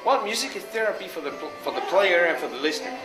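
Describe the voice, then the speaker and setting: Man, French accent, fairly high voice, loose conversational phrasing, interview guest, echoey space. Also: lively intonation, racing. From France. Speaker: interviewed jazz guitarist. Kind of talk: casual interview chat. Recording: echoey space with loud music. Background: loud music.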